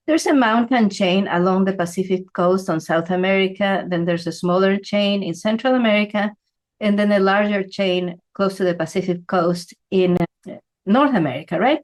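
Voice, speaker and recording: A woman from Ecuador gives a relaxed, conversational lesson. She explains things at an unhurried, talky pace and keeps checking in with a quick "right?". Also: medium pitch. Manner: conversational, asks "right?" often. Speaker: feng shui teacher. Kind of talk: explanatory talk to camera.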